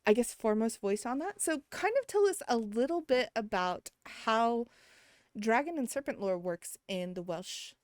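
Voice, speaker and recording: A woman's voice: a little high, animated, moderate pace, a little mellow, dry recording, studio quality. A woman hosting a casual podcast interview, enthusiastic and bubbly, with fillers like um. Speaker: podcast host.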